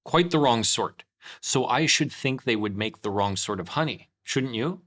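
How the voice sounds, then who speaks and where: A man's voice: medium pitch, expressive, normal speed, a bit bright, close to an everyday voice. A man voicing an earnest, solemn, slightly foolish toy bear in a children's storybook. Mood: decisive. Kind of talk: children's storybook read-aloud.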